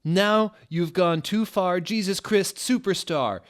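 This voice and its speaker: A man voicing a melodramatic goth rock singer screaming from the stage in a story, loud and theatrical. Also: high voice, slow, clean tone, voice pushed up. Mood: outraged.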